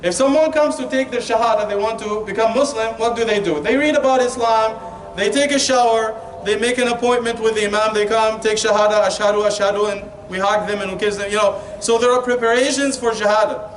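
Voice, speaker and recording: A man's voice: high-pitched, a little fast. A man with an Egyptian Arabic accent giving an informal, humorous conversational sermon as an Islamic preacher, often asking rhetorical questions and saying "you know". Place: indoor Islamic centre hall. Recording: echoey room, distant mic, faint noise.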